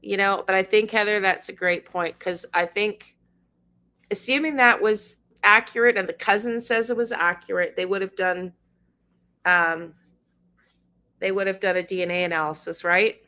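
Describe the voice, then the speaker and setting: A woman's voice: mid-range voice, fairly even intonation, moderate pace, sharp tone. A middle-aged woman, hosting a casual livestream, reading news aloud and chatting with viewers by name. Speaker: livestream host.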